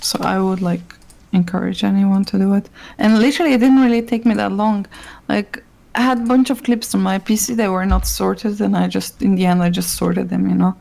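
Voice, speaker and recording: A woman's voice: medium pitch, fairly even intonation, fairly quick, creaky, mellow. A woman co-hosting a casual podcast, chatty and blunt, swearing freely and saying "like" a lot. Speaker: podcast co-host. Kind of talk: casual chat.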